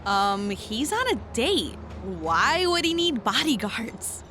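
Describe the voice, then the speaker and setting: Excitable female sidekick for audio drama, played by a woman: high, swooping, unhurried delivery, expressive and casual. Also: slight background noise, heavily acted. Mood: skeptical. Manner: expressive, slangy, exclaiming. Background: music.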